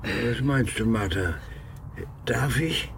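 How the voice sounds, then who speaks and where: An actor playing a sickly, bitter old father in an audio drama, with halting, musing, sardonic speech. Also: medium pitch, lively intonation, vocal fry, crowd noise. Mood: wry, frail. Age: older. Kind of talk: naturalistic audio drama acting. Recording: loud car and road noise. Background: car engine and traffic.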